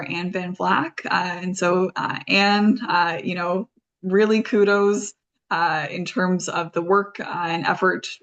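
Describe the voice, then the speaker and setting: A woman's voice: fairly low pitch, a bit bright. A woman hosting an informal presentation as a community foundation leader, speaking in loosely structured, promotional phrases. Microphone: video call. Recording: slightly dull, lo-fi online call audio.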